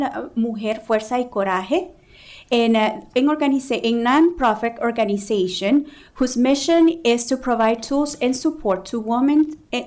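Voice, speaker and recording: Higher-pitched woman interpreting formally. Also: a little mellow. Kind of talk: consecutive interpretation. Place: county council chamber.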